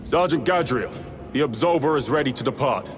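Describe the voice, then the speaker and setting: A man's voice: mid-range voice, expressive, fairly quick, background noise, close to an everyday voice. An actor playing a courteous, formal male warrior in a game.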